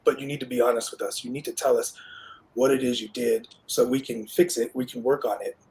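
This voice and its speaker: A man's voice: mid-range voice, little pitch movement, fairly quick, rough recording. A man talking casually as a guest parent on a video call, fatherly and loose, with many "like" fillers. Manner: warm, fatherly, many "like" fillers, quotes his son. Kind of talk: casual panel conversation.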